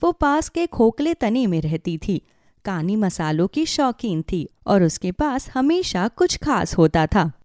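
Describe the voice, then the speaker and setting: A woman's voice: mid-range voice, sing-song, a little slow, a little mellow, no room sound, clean studio sound. A woman narrating a scripted children's story.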